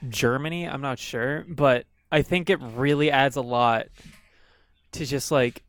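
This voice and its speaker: A man with a smooth, clear voice co-hosts a casual album review chat. He talks bluntly and swears freely. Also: mid-range voice, a little slow. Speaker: podcast co-host.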